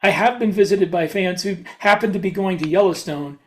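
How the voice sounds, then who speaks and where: A man's voice: high voice, brisk. A man chatting casually as a podcast host and author, with a wry manner. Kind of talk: casual chat.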